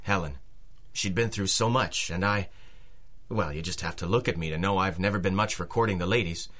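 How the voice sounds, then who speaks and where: Low-voiced, earnest big working man. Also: a bit bright. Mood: bashful, tongue-tied. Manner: halting, earnest, courteous. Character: big, plain-spoken working man, polite, earnest, bashful. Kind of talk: old-time radio drama acting.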